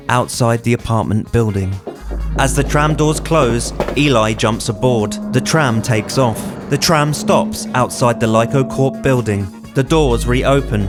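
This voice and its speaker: Man narrating a screenplay over music. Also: medium pitch. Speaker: writer and narrator. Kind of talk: scripted screenplay narration, present tense.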